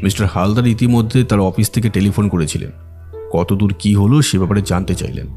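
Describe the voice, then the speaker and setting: A man playing a methodical, earnest detective in an audio drama, explaining his reasoning deliberately. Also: lowish voice, fairly even intonation, warm tone, natural voice. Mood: troubled, brooding. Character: methodical male detective reasoning through a case, earnest, analytical. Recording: music behind the voice.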